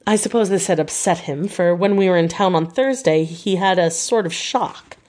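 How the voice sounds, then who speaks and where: A woman's voice: on the low side, normal speed, darkish tone, close to an everyday voice. A woman voicing a polite, modest young married woman in an audiobook, with a demure, courteous and earnest manner. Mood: troubled.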